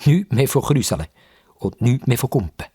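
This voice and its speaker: Very husky, animated Swiss German storyteller man. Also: mid-range voice. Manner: expressive, dramatic pauses and repetition. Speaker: narrator. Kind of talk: storytelling for young children.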